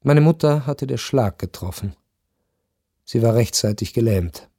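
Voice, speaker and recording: A resigned, melancholy gentleman narrating a radio drama. His mid-pitched voice is soft-edged and warm, measured and reflective. String music plays behind him. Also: animated, breathy edge, no room sound, studio quality. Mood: wistful, resigned.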